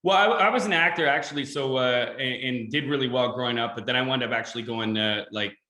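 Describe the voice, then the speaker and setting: A man's voice: medium pitch, fast talker, pushed and bright, roomy sound. A man in his thirties from Ontario, Canada, hosting a casual interview in an eager, rambling, self-deprecating way, from a makeshift room. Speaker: podcast host. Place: games room in a rented house.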